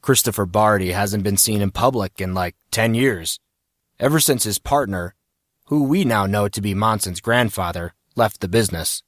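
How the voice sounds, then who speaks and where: A man's voice: lowish voice, moderate pace, no echo, studio quality, close to an everyday voice. Man voicing an aloof know-it-all teenage boy. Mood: matter-of-fact.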